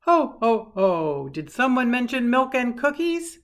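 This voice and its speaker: A woman voicing a jolly, big-bellied old man in a storybook, booming and hearty. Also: sing-song, unhurried.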